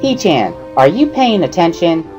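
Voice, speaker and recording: A woman's voice: fairly low pitch, very animated, a little slow, over music, voice pushed up. A woman voicing a bubbly, pushy schoolgirl tutor with perky, giggly, emphatic speech in a visual novel reading. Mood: earnest. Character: bubbly, pushy schoolgirl, earnest amateur sign language tutor.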